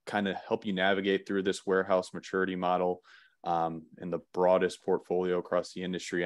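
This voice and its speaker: A man presenting slides in an online webinar, relaxed, with many "um" fillers and restarts. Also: low-pitched, no room sound, studio quality.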